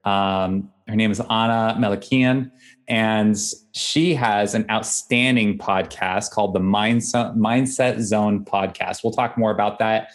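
A man's voice: on the low side, fairly even intonation, measured pace. A man hosting a podcast, introducing his guest with effusive enthusiasm. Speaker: podcast host. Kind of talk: podcast interview.

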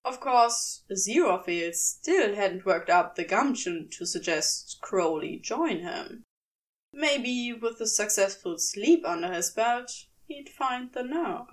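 A woman reading fiction aloud as an audiobook narrator, in an expressive scripted storytelling style. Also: a little high, slow, far from the mic.

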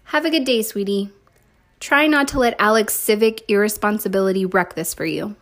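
A woman voicing an affectionate, gently teasing mother in a storybook reading, with emphatic, drawn-out phrasing. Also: medium pitch, natural voice.

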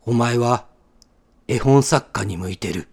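A man's voice: medium pitch, takes it slowly, gravelly, a little mellow, a little hiss or hum, voice pushed down. A man voicing a bossy, enthusiastic older man who talks bluntly in a radio drama. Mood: calm. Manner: blunt, commanding, rousing.

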